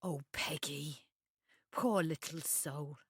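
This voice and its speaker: A woman's voice: deep voice, slow pace, husky, exaggerated voice. A woman playing a familiar, tender-hearted village neighbour and lifelong friend in an audio story. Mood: hushed pity. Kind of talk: audio short story dramatised reading.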